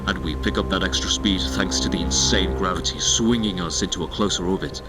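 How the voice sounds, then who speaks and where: A man's voice: low-pitched, fairly even intonation, a little fast, heavy background noise, close to an everyday voice. A man playing a confident, ambitious spaceship pilot in a science fiction audio drama, with a casual, informal way of talking. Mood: breezy, confident. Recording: music behind the voice.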